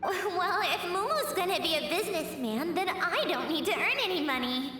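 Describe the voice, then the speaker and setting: A confident, sweet little girl for a video game. The voice is very high and animated, washed in strong echo. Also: moderate pace, slight background noise, full character voice. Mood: dreamy. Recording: echoey hall, distant, reverberant.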